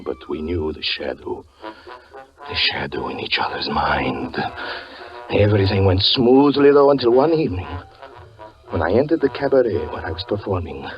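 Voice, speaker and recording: An anguished, brooding magician confessing his obsession in 1940s radio suspense style. His delivery is theatrical and intense, with halting pauses and sweeping pitch. The broadcast sounds muffled and old, with music behind him. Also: full character voice. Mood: hushed, anguished, then haunted, brooding.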